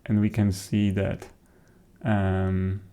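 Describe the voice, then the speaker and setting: Male software presenter, native Polish speaker with Polish accent, lowish voice, halting informal demo delivery. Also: monotone. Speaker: software developer presenting a tutorial.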